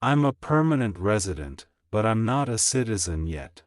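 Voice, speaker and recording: A man reading English practice sentences aloud, slowly and clearly, for learners to repeat. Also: lowish voice, dry recording, studio quality. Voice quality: plain, even reading of each sentence, repeated. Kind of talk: slow, clear scripted reading for shadowing practice.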